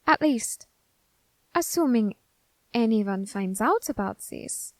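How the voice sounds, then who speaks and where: Woman doing a nerdy eager grim reaper for asmr roleplay, highish voice, really unhurried, fascinated but kinda threatening, close dry sound. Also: expressive, no echo. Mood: gleeful, excited. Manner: fascinated, deliberate, politely threatening. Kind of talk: ASMR roleplay addressed to the listener.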